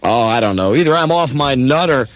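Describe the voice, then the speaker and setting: A man's voice: mid-range voice, expressive, a little fast, natural voice. A man playing a bewildered, exasperated family man in a comic radio play, folksy and stammering. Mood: flustered, exasperated.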